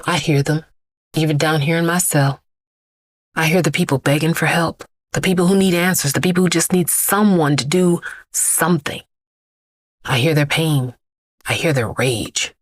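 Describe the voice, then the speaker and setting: A woman playing an immortal vigilante bag lady, a grieving mother, in a deliberate, solemn audio drama monologue. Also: deep voice, no echo, studio quality. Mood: intense, menacing. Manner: deliberate, solemn, incantatory storytelling with repeated phrases.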